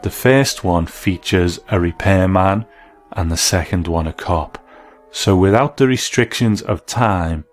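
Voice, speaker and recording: A man's voice: low voice, measured pace. Male documentary host. Scripted explanatory voice-over, light music bed underneath. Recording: voice-over with faint music behind. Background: faint music.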